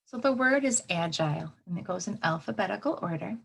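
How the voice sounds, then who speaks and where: A woman's voice: on the low side, animated, a little fast, vocal fry, breathy edge. A woman reading a children's book aloud as a teacher, expressively, with simple explanatory asides for young listeners.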